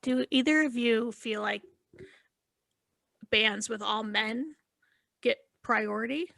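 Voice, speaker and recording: A woman's voice: on the high side, takes it slowly, gravelly, sharp tone. A woman co-hosting a podcast, blunt and swearing freely. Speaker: podcast co-host. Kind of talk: podcast conversation.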